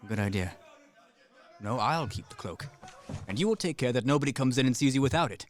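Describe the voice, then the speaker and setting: A man acting in a radio play as a desperate fugitive soldier, brisk, commanding and sardonic. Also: mid-range voice, animated, soft background music, natural voice. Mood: urgent, sardonic. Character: fugitive Serbian soldier, cynical, desperate, coolly threatening. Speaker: actor in a student radio play.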